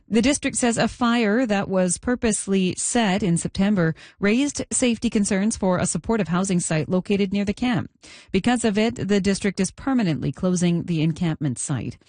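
A woman reading a scripted radio news bulletin and weather forecast in a crisp, even manner from a newsroom studio. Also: mid-range voice, dry recording.

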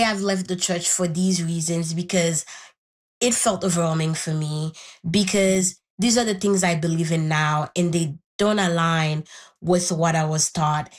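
A young woman from Haiti, a native Haitian Creole speaker, reflecting candidly and thoughtfully on her personal life as an interview guest. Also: lowish voice. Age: young adult. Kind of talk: reflective personal storytelling in conversation.

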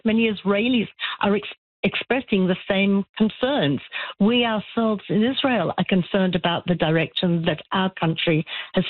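A man's voice: sits high, no room sound. A man with an Australian accent calling in to a discussion show, speaking earnestly and restarting his sentences often. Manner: earnest, halting with many restarts. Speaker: caller. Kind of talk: call-in discussion. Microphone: telephone. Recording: phone line.

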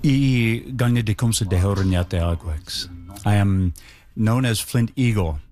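A man whose first language is Mohawk, speaking slowly and reverently in a solemn reflection. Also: fairly low pitch, darkish tone, soft background music. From Mohawk nation, Iroquois. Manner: slow, measured, reverent.

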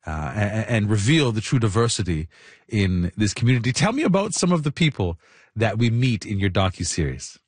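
Male radio host, low lively voice, husky, conversational with frequent restarts, dry studio sound. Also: fairly quick, no echo. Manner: conversational, many fillers and restarts. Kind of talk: radio interview.

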